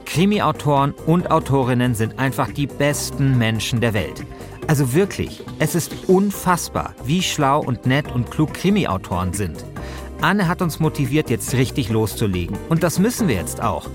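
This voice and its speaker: A man's voice: mid-range voice, sing-song, moderate pace, over music. A man hosting a podcast, reading scripted narration in a conversational way. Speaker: host and narrator.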